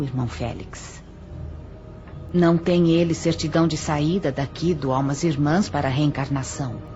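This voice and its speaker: An earnest spirit questioner, voiced by an actor, speaks with formal, pointed deliberation in a mellow, dark Brazilian voice. It is an audio drama with light music under slightly muffled sound. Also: medium pitch, natural voice. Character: earnest formal spirit questioner addressing a superior about a mystery.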